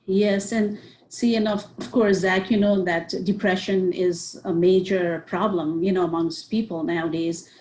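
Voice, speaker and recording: A woman's voice: lowish voice, fairly even intonation, unhurried, darkish tone, slight background noise, poor audio. A woman, a psychiatrist answering interview questions earnestly and listing points with many "you know"s. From Nepal. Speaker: psychiatrist guest.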